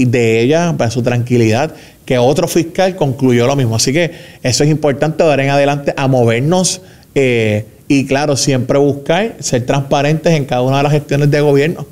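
A young man from Puerto Rico, speaking with a Puerto Rican accent as a government official answering interview questions in a measured way, with fillers and restarts. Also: medium pitch, darkish tone. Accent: Puerto Rican Spanish. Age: young adult.

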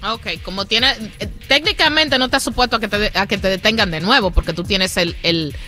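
A woman co-hosting a call-in radio show, chiming in with quick, friendly remarks. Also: medium pitch, sharp tone, soft background music. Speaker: radio co-host. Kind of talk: casual call-in radio chat.

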